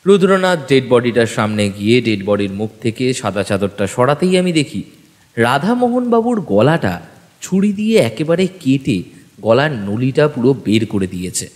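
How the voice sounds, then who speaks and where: A male narrator reads a detective story dramatically. His mid-pitched voice moves widely up and down, set in a long hall-like reverb. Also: sing-song, moderate pace, a little mellow. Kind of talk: dramatic scripted first-person narration.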